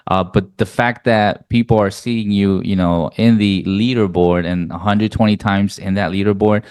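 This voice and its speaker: A male podcast host with a very deep, smooth voice. He asks friendly, loose questions full of "you know"s. The sound is dry and close. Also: fairly even intonation, moderate pace, darkish tone, no echo. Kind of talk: casual interview.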